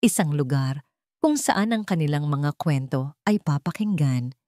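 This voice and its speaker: A woman's voice: low voice, expressive, a little slow, a little mellow, no room sound, clean studio sound. A woman with a Filipino accent reading a scripted dramatic story as its narrator.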